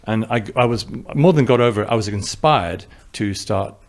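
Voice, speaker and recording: A man's voice: lowish voice, normal speed. A film director with an English accent, answering interview questions in a reflective way, thinking aloud and restarting his sentences. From New Zealand.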